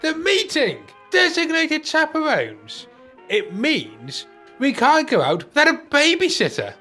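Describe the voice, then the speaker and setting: Radio play sidekick: older male, worried grumbler, very lively intonation man performing. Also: very high voice, a little slow, faint music behind, heavily acted. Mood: grumbling. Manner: worried, plaintive questioning. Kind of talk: amateur radio play acting.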